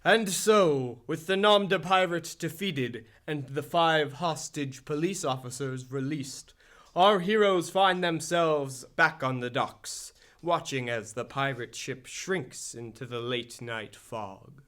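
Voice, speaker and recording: Slow-paced male radio-drama narrator. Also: on the high side, a bit bright.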